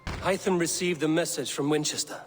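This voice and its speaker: A man's voice: on the high side, fairly even intonation, moderate pace, lightly acted. An actor playing a steadfast male Viking raider and clan leader in a video game. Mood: grave, measured. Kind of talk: dramatic video game acting.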